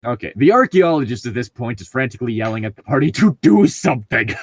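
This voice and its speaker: A man's voice: mid-range voice, no echo. A man casually reading out and joking about tabletop gaming stories, amused and given to swearing. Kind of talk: casual group banter and story reading.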